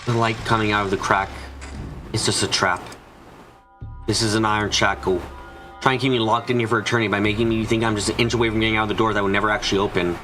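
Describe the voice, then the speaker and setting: A man's voice: lowish voice, flat delivery, fast, pushed and bright, soft background music. A man voicing an anxious, frightened narrator trapped and desperate, in a first-person visual novel fan dub. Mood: uneasy, brooding. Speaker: voice actor narrating.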